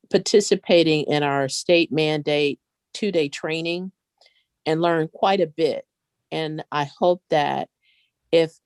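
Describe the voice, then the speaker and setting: A woman board director giving earnest, polite, measured remarks in a virtual meeting. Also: sits low, a little mellow, clear, resonant tone, no room sound.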